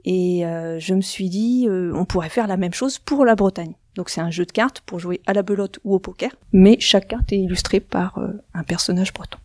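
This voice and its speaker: A woman's voice: mid-range voice, normal speed, hardly any pauses, darkish tone, dry recording. A middle-aged woman from central Brittany, a guest describing her card game in casual chat, with frequent fillers and restarts. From central Brittany, France. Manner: hesitant, many fillers and restarts.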